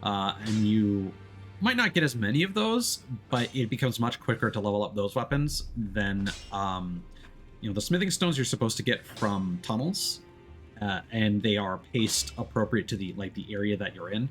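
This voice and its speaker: Guy streaming a game, lowish voice, casually explaining stuff as he thinks, faint music behind. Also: expressive, moderate pace, a bit bright. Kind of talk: casual live gameplay commentary.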